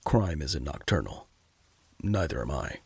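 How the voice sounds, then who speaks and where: A man's voice: rumbling low, sing-song, measured pace, vocal fry, husky, no room sound, heavily acted. A man playing a grim, terse vigilante detective in an audio drama, with a curt, commanding, brooding manner. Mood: terse, dry. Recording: loud music under the voice.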